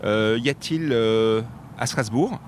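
A man hosting an interview, his voice at a medium pitch. He asks long questions in a halting way, breaking them up with filler sounds. A faint background noise sits under the recording. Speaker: interviewer, show host.

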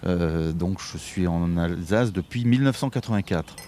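A man in his fifties with a fairly low voice, answering interview questions in a relaxed, halting way. He pauses often and restarts his sentences. A faint hiss of background noise runs under the recording. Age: 50s. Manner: hesitant, many fillers and restarts. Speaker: interview guest, Masonic official. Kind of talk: casual interview talk.

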